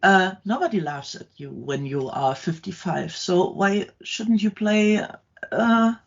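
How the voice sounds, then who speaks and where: Slow, halting middle-aged woman. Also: mid-range voice, gravelly, muffled recording. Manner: halting, many ums and restarts. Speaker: guest, content creator. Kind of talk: casual interview chat.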